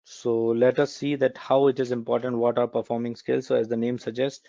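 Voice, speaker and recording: Casting: male corporate trainer from India, halting, filler-heavy delivery, dry and dull sound. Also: mid-range voice, flat delivery, creaky, dark tone, no room sound. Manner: hesitant, many fillers and restarts. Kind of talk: recorded training lecture.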